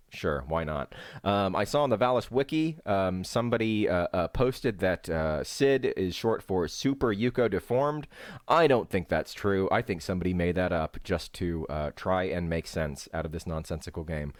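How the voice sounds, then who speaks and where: A man giving casual, rambling game commentary as a streamer, halting and hesitant, with dry, wry remarks. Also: on the low side. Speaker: speedrunner and streamer, host. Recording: roomy echo, faint game music behind.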